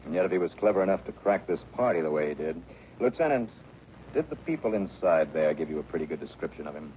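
A cool, sardonic American private eye speaks in a low, clipped, self-assured voice. It is old radio drama, muffled and plainly noisy. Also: male voice, lively intonation, fast talker. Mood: inquiring. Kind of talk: 1960s radio drama acting and first-person narration.

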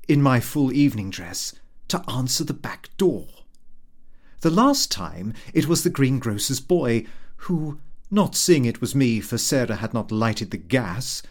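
A man reads a comic Victorian diary aloud as an audiobook. His mid-pitched voice moves around a great deal, with a prim, self-important air. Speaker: audiobook narrator. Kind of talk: expressive scripted narration of a comic Victorian diary.

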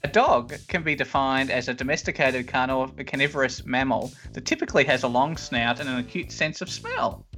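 Nasal, bright-voiced male podcast host. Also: mid-range voice, soft background music. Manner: playful, drawn-out announcing. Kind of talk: comedy podcast banter. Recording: lo-fi podcast audio.

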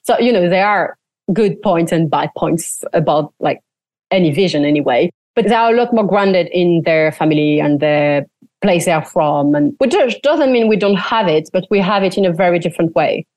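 Young French woman, animated interview guest, dry close sound, filler-heavy talk. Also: fairly low pitch, normal speed, dry recording. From France. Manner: animated, frequent "like" fillers, laughs often. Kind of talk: casual interview chat.

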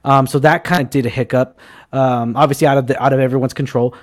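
A man in his twenties hosting a casual chat show, rambling excitably, repeating himself and peppering his talk with slangy asides. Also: mid-range voice, fast talker. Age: 20s. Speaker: show host. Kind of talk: casual panel chat on film news.

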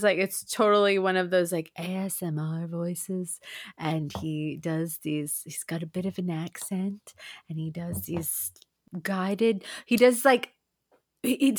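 A woman co-hosting a podcast with a raspy, hoarse voice, chatting casually and swearing freely. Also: medium pitch, fairly bright tone. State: hoarse from acid reflux. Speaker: podcast co-host. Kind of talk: casual chat.

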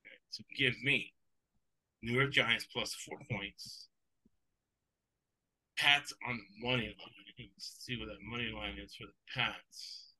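A man's voice: mid-range voice, steady tone, slow, pauses often, sharp tone, low-quality recording. A man hosting a casual sports betting chat in English, his second language, rambling off on tangents and laughing between points. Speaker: sports betting podcast host.

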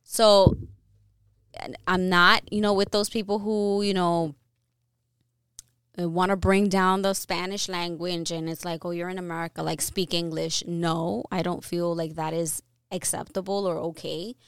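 A young woman co-hosting a casual podcast, earnest and opinionated, restarting her sentences often. Her voice is smooth and slightly low. The sound is close and dry, with no room around it. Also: little pitch movement, measured pace, no room sound. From New York, US. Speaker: podcast co-host.

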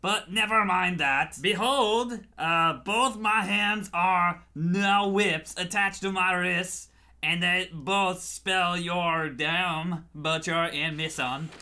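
A man's voice: sits high, fairly even intonation, slow pace, pushed and bright, full character voice. A man playing a grandiose, wordy villain who threatens doom in a theatrical radio-play parody. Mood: pompous menace. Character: grandiose French melodrama villain vowing doom.

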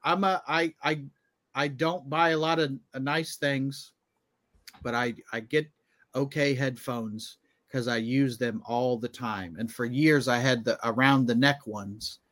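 A man's voice: medium pitch, fairly even intonation, a little slow, a bit bright. A man co-hosting a casual comedy podcast, telling stories in a hesitant, meandering way. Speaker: podcast co-host.

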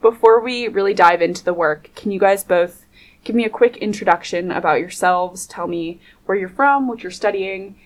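A woman's voice: mid-range voice, normal speed, low-quality recording. A young woman hosting a student radio interview in a studio, upbeat and laughing often. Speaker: radio host.

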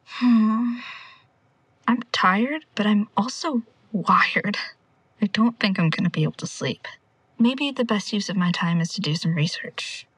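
A woman playing an earnest, eager young believer in magic in an audio drama, halting and confiding. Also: mid-range voice, fairly bright tone, breathy edge, no room sound. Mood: tired, wired. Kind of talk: naturalistic audio drama acting.

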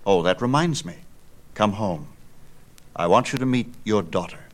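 A man's voice: lowish voice, animated, normal speed, lightly acted. A man voicing a bold young Greek hero in a storybook, declamatory and theatrical, triumphant and wry. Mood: wry, breezy. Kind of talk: dramatic audiobook storytelling.

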